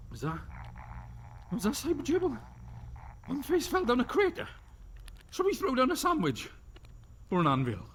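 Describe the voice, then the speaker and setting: A man's voice: very high-pitched, huge pitch swings, normal speed, pauses often, fairly bright tone, a little hiss or hum, exaggerated voice. A man playing a bumbling, jokey officer in a sci-fi comedy audio drama. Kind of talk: audio drama comedy acting, partly reading aloud.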